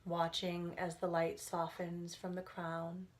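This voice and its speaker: Low, even-voiced woman guiding meditation. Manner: soothing, gentle, unhurried phrasing with pauses. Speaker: guided meditation instructor. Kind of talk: guided relaxation meditation narration.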